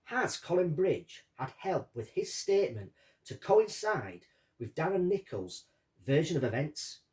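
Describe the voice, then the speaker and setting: A man's voice: fairly high pitch, big pitch swings, a little slow, a little mellow. Guy reading a statement for a true crime series, bit far from the mic, voice goes up and down a lot. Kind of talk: reading out a witness statement, with commentary. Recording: distant from the microphone.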